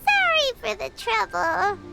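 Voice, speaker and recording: A tiny childlike fairy from a video game. The voice is extremely high, cute and squeaky, unhurried and bouncing in pitch over loud music. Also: female voice, sing-song, vocal fry, heavily acted. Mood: chirpy. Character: tiny floating fairy companion, chirpy and childlike. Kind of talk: cartoonish video game acting.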